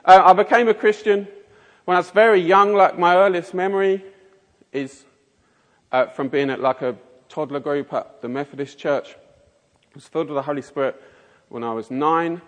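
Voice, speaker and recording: Man, 40s, Mockney south-east English accent, rambling informal preacher, slightly high voice, long church reverb. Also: fairly even intonation, normal speed. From Kent, England. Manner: chatty, rambling, self-mocking, many fillers. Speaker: lay preacher. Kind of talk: informal sermon with self-deprecating jokes.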